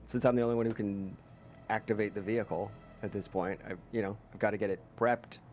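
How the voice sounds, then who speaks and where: A man's voice: fairly low pitch, fairly even intonation, quick pace, soft background music. A man chatting casually as a player in a tabletop game, in a hesitant, dry way. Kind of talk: casual chat.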